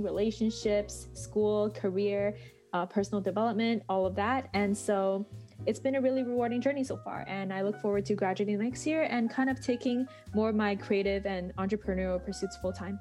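A woman's voice: mid-range voice, darkish tone. A woman in her early twenties, a student podcaster, telling her story in a chatty, upbeat way on a panel. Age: 20s. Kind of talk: casual panel conversation.

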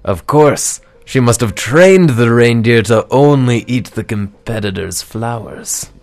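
A man voicing a gloomy hard-boiled male private detective in a comic radio noir drama, talking in deadpan, brooding narration. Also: medium pitch, a little slow, voice pushed up.

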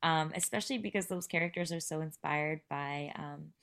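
A thoughtful woman from Canada speaks as a guest in a casual interview. Her voice sits quite low for a woman, and she measures her words, pausing on "um" between thoughts. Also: a bit bright. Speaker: theatre artist, interview guest.

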